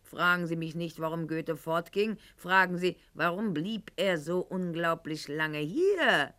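An actress playing a proud, sharp-tongued aristocratic lady in a radio play monologue, speaking in an articulate, ironic and haughty manner. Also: on the low side, lively intonation, unhurried. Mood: indignant, proud. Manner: haughty, ironic, articulate, addressing a silent listener.

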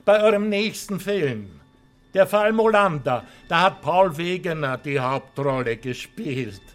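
Slick austrian show host, high voice, slow theatrical patter, music behind him. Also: male voice, lively intonation. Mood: jovial, chatty. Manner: gushing, theatrical presenter patter. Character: slick, jovial Austrian TV host, nasty underneath. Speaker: actor. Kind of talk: radio drama acting as a show presenter.

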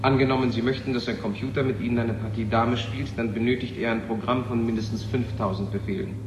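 A male actor as an intense, brooding physicist in an old radio drama. His voice sits at a medium pitch. He speaks in an echoing space, on a recording with clear noise. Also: fairly even intonation, quick pace, lo-fi sound, natural voice. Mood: formal, lecturing. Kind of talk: 1970 German radio drama acting. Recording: echoey hall, noisy old radio recording.

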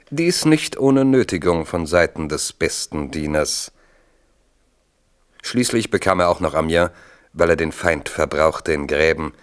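A man narrating a 1970s radio drama in a measured, formal storytelling manner. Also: low voice.

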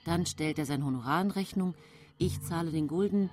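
An actress playing a seemingly pious, gentle yet secretly cold 19th-century housewife, confiding her story in a measured radio drama monologue. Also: deep voice. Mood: plaintive. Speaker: actress narrating in a radio play. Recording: music bed behind studio voice.

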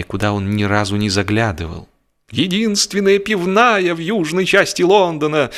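A man narrating a humorous literary story expressively, in a theatrical, measured way with drawn-out emphasis. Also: on the low side, a bit bright. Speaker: audiobook narrator. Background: music.

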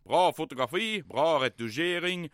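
An actor playing a vain, bombastic commandant in an old-time radio comedy drama, theatrical and easily flustered. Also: male voice, a little high, lively intonation, fairly bright tone, dry recording, clean studio sound. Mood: pompous, bossy. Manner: pompous, theatrical, swinging between orders and self-pity.

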